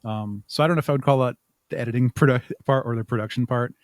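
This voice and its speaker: Male interview guest, mid-pitched, conversational, explains step by step, close dry sound. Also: fast talker, no echo. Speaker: interview guest, audio drama creator.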